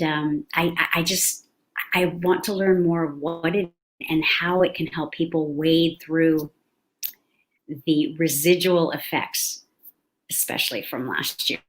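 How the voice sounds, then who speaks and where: A woman's voice: sits low, rough recording. A woman hosting an interview, asking questions and often restarting her phrases. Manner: restarts phrases, speeds up and slows down. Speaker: host.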